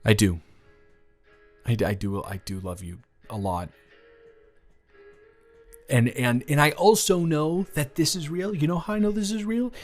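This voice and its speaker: A man playing an earnest, nervous teenage boy in love, speaking in a halting, stammering, rambling way in an intimate romantic audio roleplay. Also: medium pitch, natural voice. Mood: smitten, sincere. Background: music.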